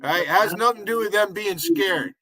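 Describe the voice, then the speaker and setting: A man's voice: high voice, fairly quick, sharp tone, no echo. A man hosting a live stream panel, talking firmly and lecturing a guest on etiquette. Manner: firm, scolding about etiquette. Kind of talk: casual live stream chat.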